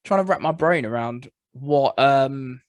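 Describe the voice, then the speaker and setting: A man's voice: mid-range voice, measured pace, dry recording. A man with an English accent co-hosting a podcast, mostly agreeing and giving short responses. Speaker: podcast co-host. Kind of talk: casual chat.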